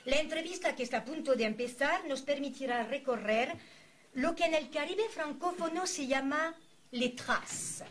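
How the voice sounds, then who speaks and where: Over clear background noise, a woman with a fairly high voice introduces a guest as interviewer. Her delivery is formal and literary, with solemn, ornate phrasing set out deliberately. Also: fairly bright tone. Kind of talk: formal, literary scripted introduction with brief asides. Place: university event recorded for a filmed programme.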